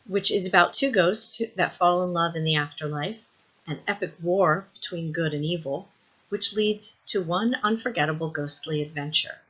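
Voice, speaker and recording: A woman's voice: lowish voice, sharp tone, slightly rough, lo-fi sound. A woman author of young adult books giving a short introduction with a trivia question.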